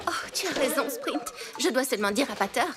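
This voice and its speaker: A woman's voice: high voice, expressive, fast, heavy background noise. A woman voicing a shy, kind-hearted cartoon girl with an earnest, hesitant manner in a French dub. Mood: awkward, hesitant. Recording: clear music behind the voice.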